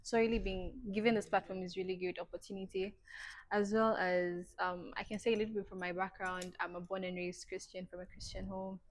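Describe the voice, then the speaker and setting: Young woman from Zambia, a soft-spoken guest. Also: mid-range voice, level tone, brisk. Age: young adult. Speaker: podcast guest. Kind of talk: casual interview answers.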